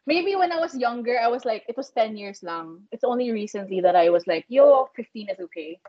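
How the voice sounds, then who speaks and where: A woman's voice: on the high side, animated, brisk. A Filipino woman in her twenties with a Filipino accent, chatting casually, laughing often and filling her talk with "like". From Philippines. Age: 20s. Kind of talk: casual chat. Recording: dull lo-fi sound.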